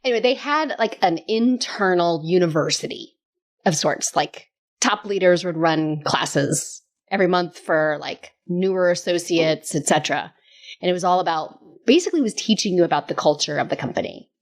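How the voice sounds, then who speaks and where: A woman in her late forties talking casually as a coaching podcast host, telling stories in an animated, emphatic way and repeating phrases for stress. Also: lowish voice, normal speed. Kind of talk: casual conversational storytelling.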